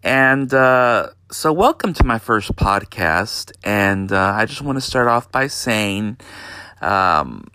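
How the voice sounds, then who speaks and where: A man from Texas, hosting a podcast from his bedroom in an unhurried, hesitant way with lots of fillers. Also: lowish voice, animated, sharp tone, clean tone, no echo. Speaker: podcast host. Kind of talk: casual monologue.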